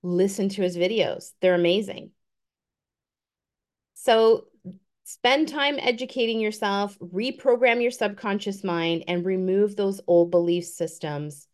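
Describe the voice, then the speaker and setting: Woman, 50s, motivational speaker, earnest, encouraging, mid pitch. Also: measured pace, darkish tone. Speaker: guest speaker. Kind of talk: motivational talk.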